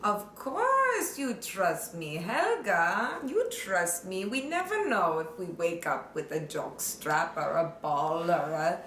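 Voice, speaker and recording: A woman's voice: fairly low pitch, very animated, slow, few pauses, fairly bright tone, a little hiss or hum, heavily acted. A woman playing a scheming foreign caregiver, speaking broken English in a sly, sing-song way in an improvised comedy sketch. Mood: soothing. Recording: roomy echo.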